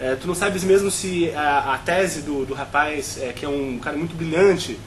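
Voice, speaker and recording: A man's voice: fairly high pitch, moderate pace, slight background noise. A Brazilian man on a film review panel, talking at length with frequent restarts and tag questions.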